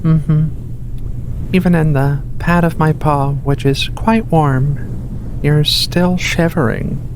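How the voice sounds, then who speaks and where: A performer voicing a gentle giant furry alien researcher in a soft, hushed, soothing ASMR roleplay. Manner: soothing, unhurried, reassuring, coaxing. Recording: steady rumbling ship ambience behind voice. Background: low engine rumble of a spaceship.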